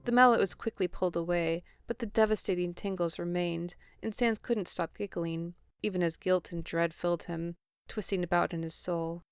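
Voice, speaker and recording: A woman's voice: fairly low pitch, fairly even intonation, moderate pace, hardly any pauses, clear, resonant tone, no room sound, clean studio sound. A woman reading scripted story narration for an audio drama. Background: music.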